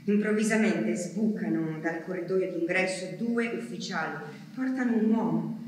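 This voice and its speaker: A woman performs a grave dramatic stage monologue from a war diary, delivering it haltingly in short phrases. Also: fairly low pitch, darkish tone. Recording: echoey hall from far off, noisy.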